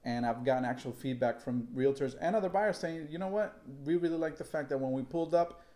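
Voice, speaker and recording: A man in his thirties co-hosting a casual advice chat, explaining steps in a steady, practical way. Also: on the high side, a little fast. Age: 30s. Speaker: real estate agent, co-host. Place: indoor room set, seated at a table.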